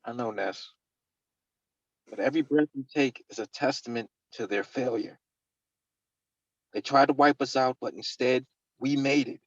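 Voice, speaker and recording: A man acting a worried military captain in a script read-through, with a raspy mid-pitched voice. He pleads earnestly and urgently, holding himself back, on a slightly muffled recording. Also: moderate pace, pauses often, no room sound, close to an everyday voice. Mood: sorrowful, earnest. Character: worried military captain pleading with his brother-in-arms, male. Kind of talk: dramatic script table read.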